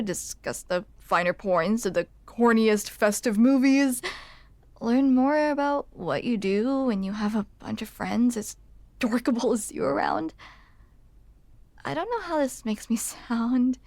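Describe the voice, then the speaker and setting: A woman's voice: a little high, gravelly, a little mellow, dry recording. A young woman playing a grumpy, smitten barista in an intimate ASMR roleplay, awkward and hesitant as she confides in the listener. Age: young adult. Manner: awkward, hesitant, self-deprecating, confessional.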